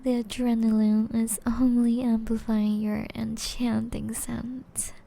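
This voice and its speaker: A woman plays an elegant, seductive vampire noblewoman in an intimate ASMR roleplay. Her voice sits fairly high yet is very dark and velvety soft, breathy and often half-whispered. She draws out her formal, teasing phrases at a very slow pace, flirty and predatory. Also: level tone, full character voice.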